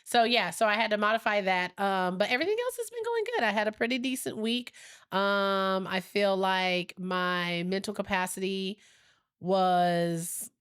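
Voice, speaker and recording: A woman's voice: medium pitch, expressive, normal speed, a bit bright, clear, resonant tone. A woman co-hosting a podcast in casual chat, rambling and laughing easily, her nose a little stuffy. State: slightly stuffy from a sinus infection. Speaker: podcast co-host.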